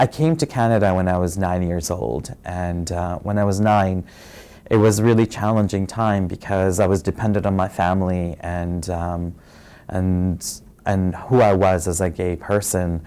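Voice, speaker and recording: A man from Fiji speaks with a low, mellow voice, recounting his life in a soft and reflective way. He pauses often and fills gaps with small hesitations, unhurried and personal. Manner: soft-spoken and reflective, many pauses and fillers. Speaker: interviewee sharing his story. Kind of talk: personal testimony.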